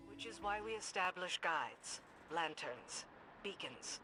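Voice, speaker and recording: High-pitched calm mystical guide. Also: male voice, slow, soft background music, heavily acted, low-quality recording. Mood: calm, instructive. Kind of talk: scripted game dialogue.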